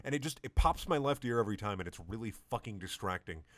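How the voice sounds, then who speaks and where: A man's voice: fairly low pitch, animated, fast, fairly bright tone, dry recording. A young man doing a comedic fan fiction reading with sarcastic, profane, rambling asides.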